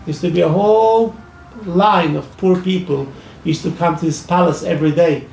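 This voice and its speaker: Gravelly man with an Iraqi accent, echoey hall. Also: fairly high pitch, a little mellow, a little hiss or hum. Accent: Iraqi Arabic. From Iraq. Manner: halting, many restarts and fillers. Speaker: speaker at a public discussion. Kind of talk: personal testimony at a talk. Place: library event room. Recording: echoey hall, mic from far off.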